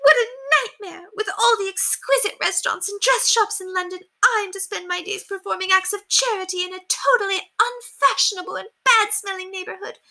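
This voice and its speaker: A woman's voice: very high-pitched, brisk, hardly any pauses, sharp tone, voice pushed up, heavily acted. A woman voicing a haughty, melodramatic upper-class lady from a storybook, theatrical and gushing. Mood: distraught, self-pitying. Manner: theatrical, gushing, indignant exclamations. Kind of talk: storybook character voice read aloud.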